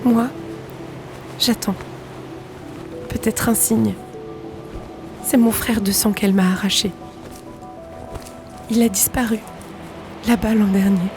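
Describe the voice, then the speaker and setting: Woman playing a hushed, grieving, wistful woman. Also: mid-range voice, fairly even intonation, takes it slowly, long pauses, music in the background. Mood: grieving, yearning. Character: grieving, wistful woman searching the sea for her lost brother. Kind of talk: French audio drama acting.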